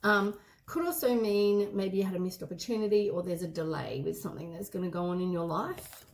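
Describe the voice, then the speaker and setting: A hoarse woman with a head cold gives a casual tarot reading, meandering and often correcting herself mid-sentence. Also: lowish voice, brisk, slight background noise. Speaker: tarot card reader.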